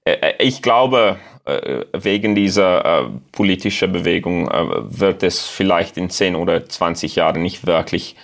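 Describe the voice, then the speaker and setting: Halting Afrikaans-accented man. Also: deep voice, creaky. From South Africa. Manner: halting, searching for German words with many fillers. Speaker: guest. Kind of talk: casual interview.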